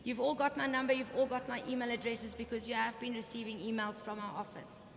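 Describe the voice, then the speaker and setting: A hoarse woman presenting awards in a formal, emphatic, measured speech at a dinner. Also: a little high, little pitch movement, slight background noise. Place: indoor banquet hall. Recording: echoey hall with background chatter.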